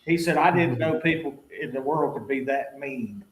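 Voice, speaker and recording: A man's voice: medium pitch, normal speed, roomy sound, low-quality recording. A man telling folksy, plain-spoken stories as a guest in a casual chat.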